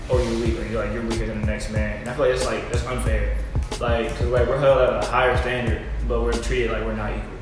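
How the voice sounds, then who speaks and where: A man in his twenties talking quickly and earnestly, his voice ringing in a very echoey room. Music plays clearly behind him. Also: mid-range voice, level tone. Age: 20s. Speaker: panelist. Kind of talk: casual panel discussion.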